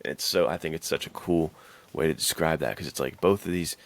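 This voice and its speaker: A man's voice: rumbling low, fairly quick. A man co-hosting a podcast in casual, enthusiastic chat, retelling things with slangy emphasis. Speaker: podcast co-host.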